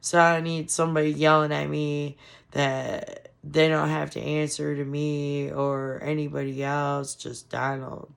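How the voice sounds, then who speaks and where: A woman giving casual, frustrated political commentary on her vlog, hoarse from losing her voice. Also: low voice, flat delivery, measured pace. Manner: frustrated, ranting. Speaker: commentary vlogger.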